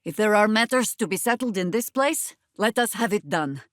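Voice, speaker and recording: An actress playing a stern, wry, middle-aged druid veteran in a video game, speaking in a measured, commanding way. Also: female voice, mid-range voice, lively intonation, no echo, studio quality, lightly acted. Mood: grave, measured. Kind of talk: video game character dialogue and spell shouts.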